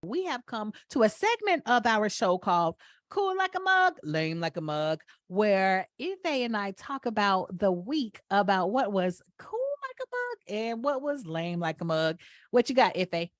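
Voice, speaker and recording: A woman's voice: medium pitch, very animated, measured pace, dry recording, studio quality. A woman from Atlanta with a Southern accent, co-hosting a podcast in casual chat, playful and laughing as she explains with examples. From Atlanta, USA. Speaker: podcast co-host.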